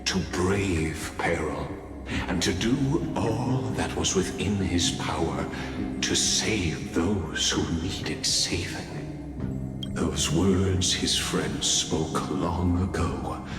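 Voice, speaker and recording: A man acting as the solemn narrator of an epic game legend, speaking in a grave, stately, measured way. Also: low-pitched. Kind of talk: scripted dramatic storytelling narration. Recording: long hall reverb, music underneath.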